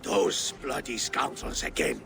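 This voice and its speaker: An actor playing a well-fed, fussy and genteel town official, anxious, in a dramatic video game. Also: male voice, medium pitch, sing-song, a little slow, creaky, over music, heavily acted. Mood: fretful.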